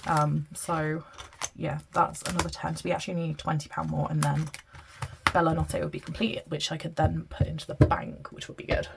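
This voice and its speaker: Congested British woman, low and gravelly, chatty money-counting vlogger, noisy home recording. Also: flat delivery, moderate pace. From Britain. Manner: chatty, counting money aloud, apologetic asides. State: blocked-up nose from hay fever. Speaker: budgeting vlogger. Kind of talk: casual chat while counting cash aloud.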